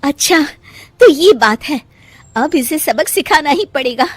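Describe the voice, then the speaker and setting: Woman as a high-pitched, aggrieved young wife. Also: animated, a little slow, no echo. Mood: indignant. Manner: dramatic, indignant. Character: emotional, aggrieved young daughter-in-law, bold when wronged. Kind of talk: cartoon story dialogue.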